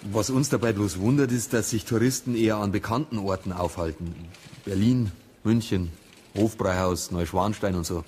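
An actor playing a dry, persistent police detective with a Bavarian accent and a colloquial, matter-of-fact manner. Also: male voice, lowish voice, normal speed, darkish tone, a little hiss or hum, natural voice. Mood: probing.

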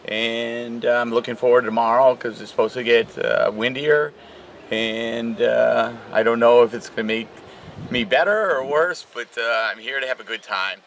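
A middle-aged man talks outdoors among trees and cars, picked up by a camera mic. His voice is gravelly, and he speaks loosely and modestly, leaning on uh between phrases. Faint outdoor ambience sits behind him. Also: mid-range voice, moderate pace, slight background noise. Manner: relaxed, many uh fillers, self-deprecating. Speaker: sailor, regatta leader. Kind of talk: casual interview.

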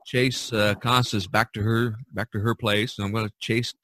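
Male podcast host, rambling and easygoing, low voice, dry close sound. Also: level tone, moderate pace, pushed and bright, dry recording. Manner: rambling, easygoing, laughs often. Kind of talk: casual chat among artists.

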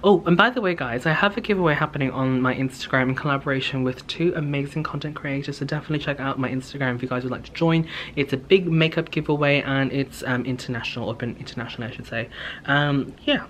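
A man's voice: medium pitch, quick pace, a bit bright. Casting: young male vlogger, chatty and informal, rambling delivery, recorded at home on a camera mic with background noise. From Hong Kong. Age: young adult. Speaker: vlogger, beauty content creator. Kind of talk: casual chatty vlog to camera. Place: indoors in a home living space. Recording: clear background room noise.

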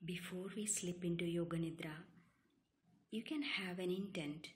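A woman leads a guided relaxation meditation, giving calm, soothing instructions in short, separated phrases. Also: fairly low pitch, normal speed. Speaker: yoga nidra meditation guide.

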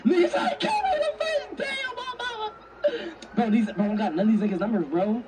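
Very high-pitched excitable male game streamer. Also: brisk, recorded from a distance, very noisy. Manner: excitable, slangy, profane. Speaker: streamer playing a roleplay game. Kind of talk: animated in-character game roleplay.

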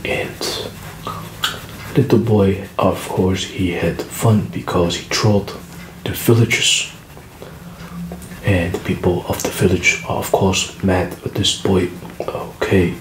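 Slow whispering man, echoey room. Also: sits low, steady tone. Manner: soft whispering, slow and deliberate. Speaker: ASMR storyteller. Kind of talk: ASMR storytelling. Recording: echoey room with background noise. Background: gum chewing clicks.

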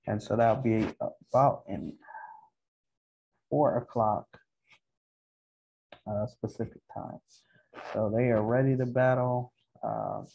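A low-pitched-to-average man's voice with a soft, dark tone and some huskiness. He presents a casual market update in short, halting phrases. The recording is dull and lo-fi. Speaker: stock video host. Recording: dull, muffled lo-fi home recording.

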